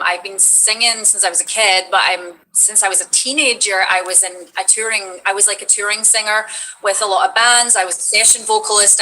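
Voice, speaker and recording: Scottish woman guest, bright forward voice, chatty storyteller on a video call. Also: mid-range voice, slight background noise, rough recording. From Scotland. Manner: chatty, animated storytelling with fillers. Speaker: voice actress guest. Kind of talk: casual stream chat.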